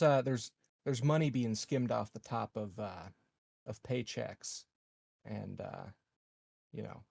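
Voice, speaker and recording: Cynical space-colony security man, roleplayed by a man, fry-laden voice, mid pitch, blunt and halting, tabletop game. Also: a little mellow, no room sound. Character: cynical, sardonic male colony security operative. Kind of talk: in-character tabletop roleplay dialogue.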